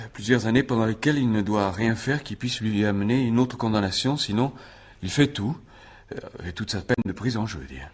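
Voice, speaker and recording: An actor playing an earnest, resentful younger brother in a French radio crime drama, speaking in a husky male voice. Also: fairly low pitch.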